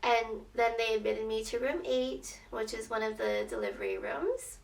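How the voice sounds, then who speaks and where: A young woman with a slightly raspy voice, telling a personal story in a casual, chatty, expressive way, as a vlogger would. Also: on the high side, normal speed. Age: young adult. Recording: roomy echo, distant microphone.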